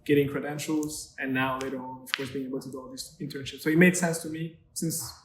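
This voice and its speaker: A man from Rwanda, a podcast guest, answering in a relaxed, simple way. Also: mid-range voice, fast, a little hiss or hum. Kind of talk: casual interview chat. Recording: echoey room.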